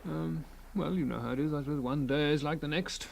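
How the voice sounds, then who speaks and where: A man's voice: fairly high pitch, a little fast, creaky, slight background noise, low-quality recording. An actor playing a mild, befuddled husband in his thirties in a radio drama, hesitant and vague. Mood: musing. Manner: hesitant, vague, faintly amused.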